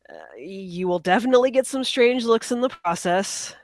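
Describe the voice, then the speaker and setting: A woman's voice: fairly high pitch, moderate pace. A woman chats casually as a podcast co-host, her nose stuffed up from a cold. She sounds apologetic and self-deprecating and laughs at herself often. The sound is dry and close, with no room echo. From United States. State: congested from a cold. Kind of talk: casual chat.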